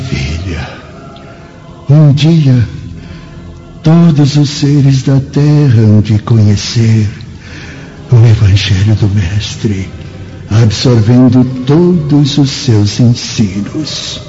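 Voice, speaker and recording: Um homem interpretando um velho e sábio mestre espiritual, paternal e sereno, que prega com solenidade em radionovela religiosa. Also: male voice, mid-range voice, expressive, mellow. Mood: serene, fatherly. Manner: grave, preaching, measured. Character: wise old male spirit master, serene and fatherly. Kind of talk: solemn religious radio drama acting. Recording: dull radio drama recording, music behind.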